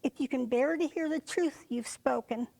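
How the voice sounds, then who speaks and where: Woman, high voice, creaky edge, poetry recital, deliberate phrases with pauses. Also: a little mellow. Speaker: poetry reader. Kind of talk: reciting a poem for reader's theater.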